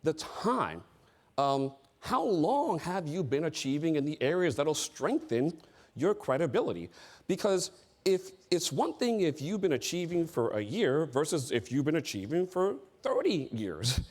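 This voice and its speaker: A middle-aged man delivers an emphatic seminar talk and drives his key points home by repeating them. His voice sits a little high for a man and leaps up and down with lively swings. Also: a little slow. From United States. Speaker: speaker on board service.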